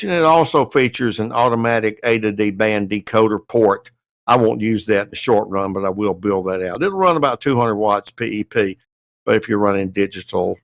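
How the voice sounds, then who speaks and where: A man's voice: on the low side, fairly bright tone, no room sound. An American man giving a detailed, methodical update as a panellist on a casual podcast. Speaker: podcast panellist and amateur radio writer.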